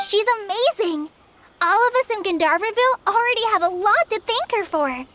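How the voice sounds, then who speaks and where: A very high-voiced young girl from a video game, cheerful and sincere. She speaks with sweet, girlish eagerness. There is clear noise behind her. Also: expressive, normal speed. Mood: bright, friendly.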